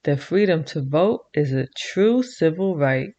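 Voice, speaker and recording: Slow, low-voiced woman reading to kids. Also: expressive. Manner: slow rhythmic rhyming read-aloud; bright sing-song teacher voice for kids. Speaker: children's show host. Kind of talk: reading a picture book aloud to kids.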